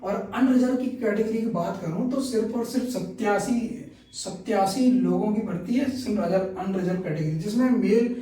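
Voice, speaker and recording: High-pitched man in a very echoey room. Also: moderate pace, warm tone. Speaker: exam-news video presenter. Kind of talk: informal explainer, reading out figures. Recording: echoey room, distant mic.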